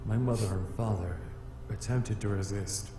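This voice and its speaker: A man's voice: on the low side, lightly acted. A man voicing a haughty, easily angered male demon in a visual novel game, speaking in a formal, pompous way. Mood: solemn, bitter. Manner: pompous, formal, slow delivery. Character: haughty centuries-old male flame demon, easily enraged. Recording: roomy echo with music behind.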